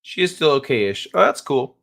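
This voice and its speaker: A man's voice: mid-range voice, very animated, normal speed, creaky, a bit bright. A man hosting a live stream, chatting casually and rambling with jokes and swearing. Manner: rambling, joking, profane, laughs often. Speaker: livestream host. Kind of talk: casual live-chat talk.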